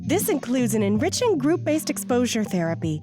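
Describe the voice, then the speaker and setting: An actress plays a female announcer for an institutional orientation tape in an audio drama. She has a fairly high voice and a polished, saccharine sales delivery with something sinister under it. Music plays clearly behind her. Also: big pitch swings, brisk. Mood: falsely cheerful. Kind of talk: scripted promotional video narration.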